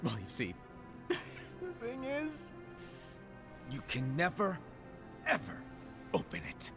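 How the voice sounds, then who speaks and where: An actor playing a mysterious, playful hooded keyblade master in a game cutscene, breezy and secretive. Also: male voice, high-pitched, huge pitch swings, takes it slowly, full character voice. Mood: teasing. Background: game soundtrack music.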